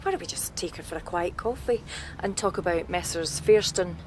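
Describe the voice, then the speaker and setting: An actress playing a steady, coaxing Scottish police detective who questions people in a radio crime drama. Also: female voice, fairly low pitch, moderate pace, slight background noise, close to an everyday voice. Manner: coaxing, probing.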